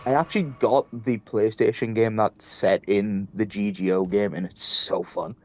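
A young man talking over a game with a stuffy, blocked-up nose and a lowish voice. He chats casually with friends and jumps eagerly from one topic to the next. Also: steady tone, moderate pace. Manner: enthusiastic, jumps between topics. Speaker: gamer chatting with friends. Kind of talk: casual chat.

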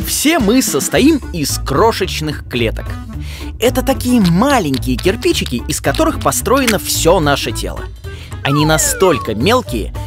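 A man voicing an enthusiastic moose scientist who explains things didactically in an educational cartoon. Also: fairly high pitch. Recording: music behind the voice.

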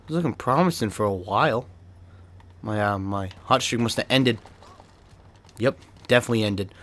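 A young man with a Boston accent giving casual gameplay commentary, cursing, laughing and yelping when surprised. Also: mid-range voice. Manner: excitable, swears and laughs often, yelps when startled. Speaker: gaming video creator.

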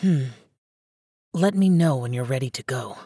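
A woman playing an aloof young male immortal demon hunter in a video game, speaking in a terse, solemn, formal way with a husky voice. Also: extremely low pitch, expressive, normal speed, dark tone, no echo, studio quality, full character voice. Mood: earnest, restrained. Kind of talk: English video game character dialogue.

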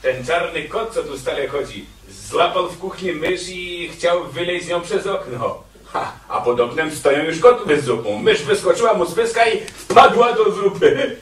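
An actor playing a streetwise, dismissive criminal in a prison radio drama, talking in an offhand, brusque way. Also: male voice, high voice, lively intonation, moderate pace, a little hiss or hum, rough recording. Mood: amused.

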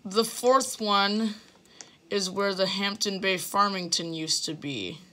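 Slow, halting young man. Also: high-pitched, bright, forceful tone. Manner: slow, hesitant, with fillers. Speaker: gamer showing a game build. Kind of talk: casual walkthrough narration. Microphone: phone filming a computer screen.

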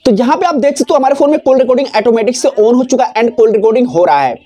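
A young man talks through a phone tutorial step by step. His voice is soft-edged and mellow. He speaks off the cuff, repeating his instructional phrases as he goes, close on a lapel mic. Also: very high-pitched, lively intonation, racing. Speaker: tech tutorial presenter. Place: small decorated indoor room. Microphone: clip-on lapel mic.

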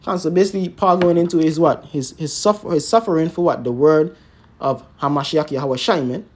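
A man's voice: fairly high pitch, fairly quick. A man teaching scripture in an emphatic, informal way, peppering his talk with tag words and rhetorical questions. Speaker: religious teacher.

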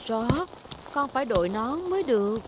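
A woman voicing a gentle, patient mother pig in a cartoon dub, with a motherly manner. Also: fairly high pitch, expressive, moderate pace, heavy background noise, lightly acted. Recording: loud rain and effects behind.

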